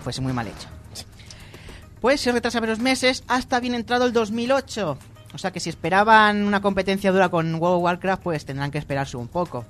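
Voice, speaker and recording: High-voiced man reading game news. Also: lively intonation, a little fast, a bit bright, soft background music. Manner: teasing, laughs often. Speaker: radio news presenter.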